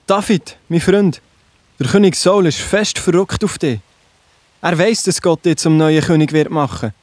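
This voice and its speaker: A man's voice: on the high side, a little slow, darkish tone, no echo. A man with a Swiss German accent playing a worried, loyal young prince in a children's Bible audio drama, speaking earnestly. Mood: worried, urgent.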